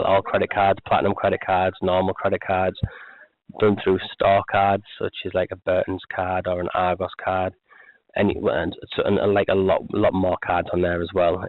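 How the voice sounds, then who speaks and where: Brief: croaky male telemarketer, low flat delivery, tinny phone line. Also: dry recording. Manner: rambling, repetitive sales pitch with many restarts. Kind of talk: sales phone call. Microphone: telephone.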